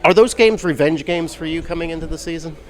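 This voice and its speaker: A man's voice: on the high side, fast. A man co-hosting a podcast, asking teasing questions at a media day event. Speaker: podcast co-host. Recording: crowded event noise behind.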